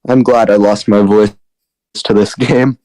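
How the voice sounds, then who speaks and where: A hoarse young man doing excited post-game commentary, laughing often and breaking into shouts. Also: on the low side, unhurried, low-quality recording. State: hoarse, lost voice.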